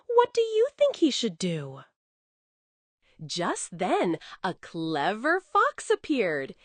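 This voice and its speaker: Slow, very expressive woman storyteller. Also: medium pitch, breathy edge, dry recording. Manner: expressive, coddling, addresses a young child. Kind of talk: scripted children's bedtime narration.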